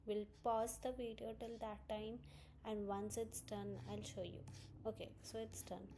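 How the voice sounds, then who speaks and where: Even-toned woman narrating a software tutorial. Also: mid-range voice, measured pace, noisy background. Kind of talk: informal screen-walkthrough tutorial narration.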